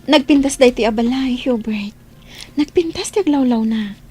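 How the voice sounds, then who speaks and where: A woman's voice: sits high, moderate pace, mellow, lightly acted. A woman playing a sweet, timid young housemaid in love in a radio drama. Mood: dreamy, loving. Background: music.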